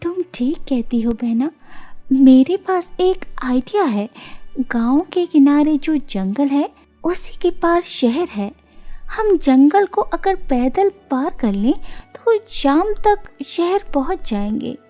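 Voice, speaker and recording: Selfless young elder sister, acted by a woman, high and animated, earnest storybook heroine. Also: slow, soft background music. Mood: encouraging. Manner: earnest, reassuring.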